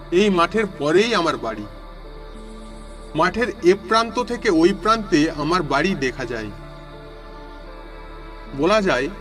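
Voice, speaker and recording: A man voicing a village traveller who narrates his suspenseful walk home as a dramatic first-person audio story. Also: fairly high pitch. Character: male villager walking home through a storm, narrating.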